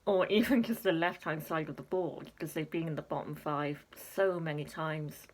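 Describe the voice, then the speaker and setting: A young woman chatting casually to camera, filling pauses with ums and laughing at herself. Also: sits low, darkish tone. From Wales. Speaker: Eurovision reaction vlogger.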